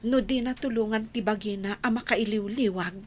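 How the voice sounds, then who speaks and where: A woman's voice: mid-range voice, moderate pace, a little hiss or hum, natural voice. An actress playing a firm young college woman in a radio drama, speaking with a Filipino accent. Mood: frustrated, pleading. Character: Emma, firm young college woman refusing an old love. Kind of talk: Ilocano radio drama acting.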